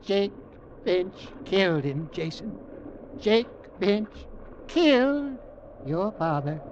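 An actor playing a rich, scheming middle-aged uncle, deliberate and smugly menacing, in a vintage radio drama. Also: male voice, high voice, big pitch swings, a little mellow, exaggerated voice. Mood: grave, insinuating. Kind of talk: 1940s-50s radio drama acting. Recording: muffled, noisy vintage radio recording.

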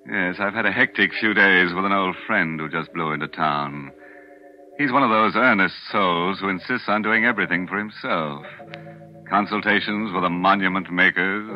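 A man with a deep voice hosts a radio horror show with sardonic, macabre humor. Faint music plays under a dull old broadcast sound. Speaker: host. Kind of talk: 1940s radio horror hosting.

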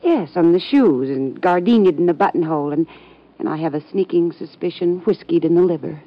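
An actress playing a dry, sardonic young niece in an old radio drama, on a muffled old recording. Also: female voice, on the low side, brisk.

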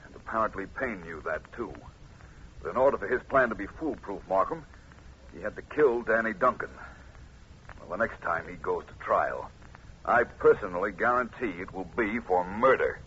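A man acting a cool, determined detective in an old radio drama, with measured, menacing, dryly confident delivery. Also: lowish voice, expressive, a bit bright. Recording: muffled, noisy old radio recording.

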